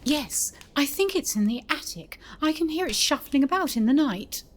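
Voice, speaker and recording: A woman's voice: high voice, lively intonation, normal speed. A woman playing a prim, earnest governess in an audio drama, anxious and politely proper.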